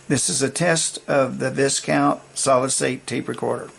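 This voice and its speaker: An older man giving a casual, unscripted demonstration of vintage gear, talking in a folksy, halting, rambling way. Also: mid-range voice, fairly even intonation, gravelly, fairly bright tone, husky.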